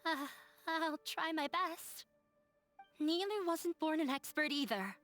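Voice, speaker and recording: Slow, high-voiced shy young woman. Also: lively intonation, fairly bright tone, faint music behind, exaggerated voice. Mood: shy, hopeful. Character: shy, earnest young woman finding her courage. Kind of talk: video game voice acting.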